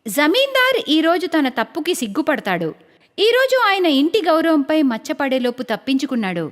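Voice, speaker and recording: A woman narrating a cartoon moral story in a lively storytelling manner. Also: high-pitched, normal speed.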